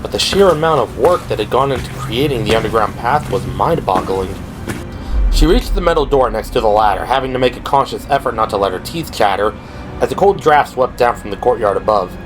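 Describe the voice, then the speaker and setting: A man's voice: medium pitch, expressive, brisk, music in the background, poor audio. A man reading scripted dramatic narration for an audio drama. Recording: clear background noise and ambience. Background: ambient sound effects.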